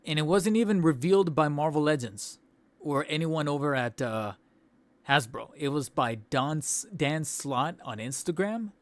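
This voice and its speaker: Young male streamer, fairly high voice, hoarse, casual rambling host. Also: lively intonation, moderate pace, dry recording. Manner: rambling, self-interrupting, laughs between thoughts. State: losing his voice. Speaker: toy channel host. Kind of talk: casual livestream wrap-up chat. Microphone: studio desk mic.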